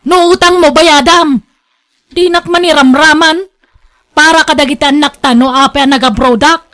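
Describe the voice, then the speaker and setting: A woman's voice: sits high, normal speed, a bit bright. A woman with a northern Philippine accent playing a firm, blunt working wife and mother in a radio drama. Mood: angry, scolding. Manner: blunt, scolding.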